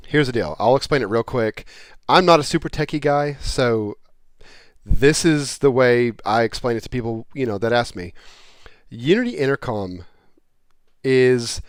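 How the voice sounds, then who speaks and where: A man's voice: mid-range voice, no room sound, clean studio sound. A man presenting a product in a casual explainer style, talking plainly and repeating points in everyday terms.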